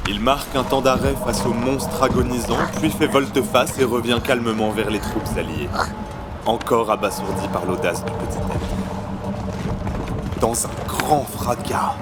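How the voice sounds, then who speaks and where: A man's voice: medium pitch, measured pace. A man narrating a fantasy audio saga in a scripted, dramatic storytelling style. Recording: loud music over the voice.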